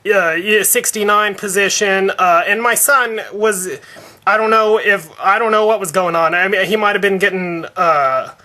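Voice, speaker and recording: A man putting on a high-voiced, stammering outraged father. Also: steady tone, pushed and bright. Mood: flustered, suspicious. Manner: halting, stammering, repetitive. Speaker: prank caller. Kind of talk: prank phone call, improvised complaint.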